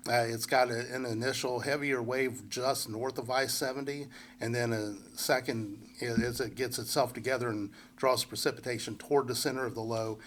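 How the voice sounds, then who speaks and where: A man with a congested head cold giving a relaxed, unscripted local weather forecast, full of fillers and restarts. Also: medium pitch, slight background noise. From central Indiana. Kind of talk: casual forecast walkthrough.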